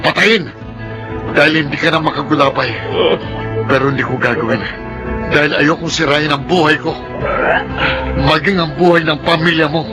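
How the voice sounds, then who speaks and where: A man's voice: a little high, steady tone, moderate pace, pauses often, close to an everyday voice. A man acting as a wronged, peaceable young man in a Filipino radio drama, earnest and pleading, restrained but firm. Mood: angry, firm. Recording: loud music behind the dialogue.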